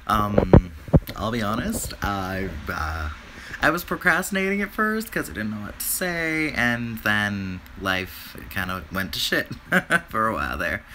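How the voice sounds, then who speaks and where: A man whose voice is raspy from a recent flu, rambling through a casual video update with lots of fillers and restarts. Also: lowish voice, sing-song, measured pace, noisy background. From Canada. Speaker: vlogger.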